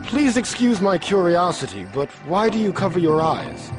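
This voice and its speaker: A man's voice: high voice, sing-song, normal speed, loud music behind the voice. A male actor playing an earnest, polite martial arts hero in a fighting game, formal and respectful. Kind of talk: fighting game cutscene acting.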